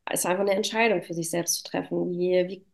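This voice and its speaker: A woman's voice: fairly low pitch, steady tone, fairly quick, gravelly. Reflective woman chatting as an interview guest. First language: German. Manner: reflective, filler-laden with frequent "ne" tags. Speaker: interview guest, self-employed business owner. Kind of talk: casual conversational interview. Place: at home.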